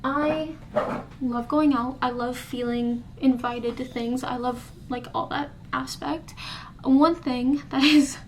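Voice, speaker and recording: Chatty high-voiced young woman in her bedroom. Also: fairly even intonation, slow, slight background noise. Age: early 20s. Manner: chatty, candid, rambling with restarts. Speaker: vlogger. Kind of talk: casual diary vlog. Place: bedroom indoors. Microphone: camera in front of her face.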